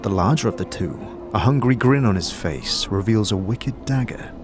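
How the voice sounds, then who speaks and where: A man's voice: on the low side, expressive, moderate pace, music in the background. A man giving scripted, second-person narration for a fantasy audio drama as its storyteller. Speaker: narrator and game-master storyteller.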